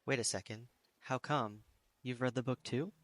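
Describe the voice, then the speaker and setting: Man acting an expressive, easily exasperated young guy. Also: mid-range voice, normal speed, no echo, close to an everyday voice. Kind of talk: fan-dub game character voice acting.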